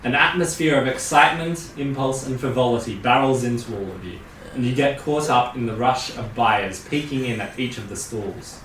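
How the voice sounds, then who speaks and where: A man's voice: mid-range voice, normal speed, a bit bright, distant mic, a little hiss or hum. Man narrating in an echoey room. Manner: descriptive storytelling, laughs with players. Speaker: game master. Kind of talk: narrating a tabletop game.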